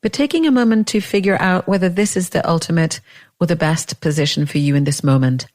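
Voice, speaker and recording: A woman leading a guided meditation in a gentle, unhurried way, leaving long pauses between phrases. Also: lowish voice.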